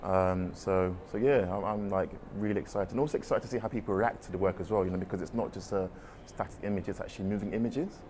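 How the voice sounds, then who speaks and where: Artist guy, deep voice, talks fast, lots of sort of and kind of. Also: a little mellow, a little hiss or hum. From London, England. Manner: rambling, hedging with "sort of" and "kind of". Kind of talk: casual interview answers. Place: art exhibition space.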